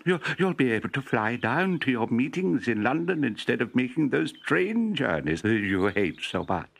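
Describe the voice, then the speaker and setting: A man's voice: mid-range voice, big pitch swings, normal speed, creaky, voice pushed up. A man voicing a professor's practical, fond wife in a storybook, fussing and encouraging. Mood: amazed.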